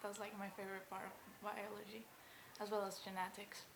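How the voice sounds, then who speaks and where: A woman's voice: medium pitch, level tone, a little fast. An eighteen-year-old woman answering interview questions in a plain, slightly tentative way. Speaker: school leaver interviewee. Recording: noisy background ambience.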